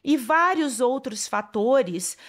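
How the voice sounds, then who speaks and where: A woman's voice: high voice, lively intonation, slow, fairly bright tone. Brazilian woman explaining with frequent "né?". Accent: Brazilian Portuguese. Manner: frequent "né?" tags, restarts, mixes English words. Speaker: astrologer. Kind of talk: casual explanatory talk.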